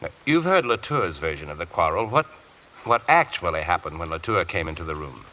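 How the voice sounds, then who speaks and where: A man's voice: low voice, sing-song, brisk, sharp tone, full character voice. A man playing an eloquent, intense defence barrister in a vintage radio courtroom drama, speaking in a formal, pressing way. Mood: probing, insistent. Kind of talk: 1940s-50s radio courtroom drama acting. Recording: faintly noisy vintage radio recording.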